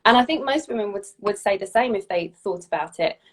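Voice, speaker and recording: A woman's voice: medium pitch, animated, a little fast. Woman in her forties explaining, with restarts. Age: 40s. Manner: explanatory, frequent restarts and repeats. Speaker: functional medicine practitioner. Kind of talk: casual expert chat.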